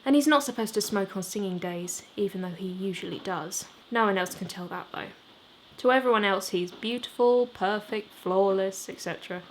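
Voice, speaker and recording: Female author-narrator for expressive novel reading, mid-pitched, faint ambient noise. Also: moderate pace, poor audio. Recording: steady background noise. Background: rain ambience.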